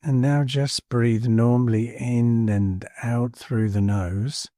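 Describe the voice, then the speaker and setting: Slow, mellow man guiding meditation. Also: medium pitch, dry recording, studio quality. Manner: calm, soothing, unhurried with spaced phrases. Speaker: meditation teacher. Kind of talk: guided body scan meditation.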